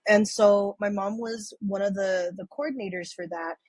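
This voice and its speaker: Husky-voiced woman telling rambling stories. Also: mid-range voice, fairly even intonation, moderate pace, a little mellow, no room sound. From San Francisco, USA. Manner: rambling, many fillers and restarts, swears casually. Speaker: podcast guest and community organizer. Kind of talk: casual storytelling chat.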